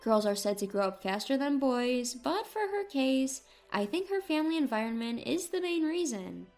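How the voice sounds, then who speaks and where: A woman's voice: high voice, sing-song, moderate pace, a little mellow, soft background music. A woman reading a scripted first-person story as its narrator.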